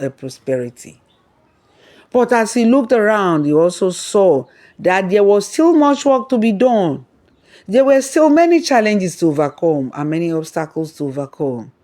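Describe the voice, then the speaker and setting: Storyteller narrating a folktale, mid-pitched voice. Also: a little mellow.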